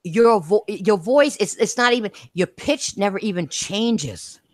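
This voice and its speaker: A woman's voice: mid-range voice, expressive, a little slow, no room sound, clean studio sound. A woman hosting a casual gaming podcast, talking emphatically and repeating her points. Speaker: podcast host.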